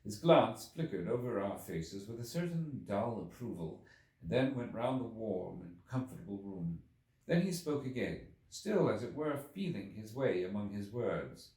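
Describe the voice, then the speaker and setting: Male audiobook narrator: theatrical, measured literary reading, very varied intonation, recorded in an echoey room. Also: on the low side, sing-song, a little mellow. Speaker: audiobook reader. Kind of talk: expressive scripted literary narration. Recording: echoey room, distant from the mic.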